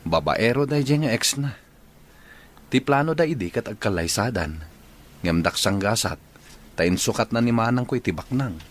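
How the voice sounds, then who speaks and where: A man's voice: on the low side, animated, moderate pace, long pauses, close to an everyday voice. An actor with a Filipino Ilocano accent playing an earnest young engaged man, wary of his sister, in a radio drama. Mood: resentful, subdued.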